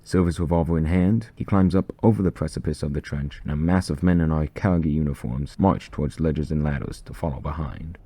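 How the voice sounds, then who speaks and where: A man's voice: a bass voice, fairly quick, hardly any pauses, mellow, no echo. A man reading his own first-person short story as scripted narration.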